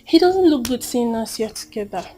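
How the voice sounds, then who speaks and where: A woman's voice: fairly high pitch, expressive, moderate pace, close to an everyday voice. An actress playing an uneasy, hesitant girlfriend in a screen drama. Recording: echoey room, music underneath.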